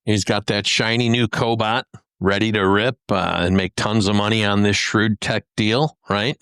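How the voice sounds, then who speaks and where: Lower-voiced man co-hosting a podcast. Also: fairly even intonation, normal speed, a bit bright, smooth, dry recording, studio quality. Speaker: podcast co-host, work holding expert. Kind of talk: casual explanatory chat.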